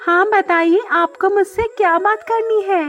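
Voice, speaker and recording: Woman voicing a high-pitched, earnest young bird maid. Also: fairly even intonation, a little slow, fairly bright tone, soft background music. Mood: surprised, modest. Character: young hardworking female bird maid, dutiful, modest. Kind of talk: Hindi children's cartoon story dialogue.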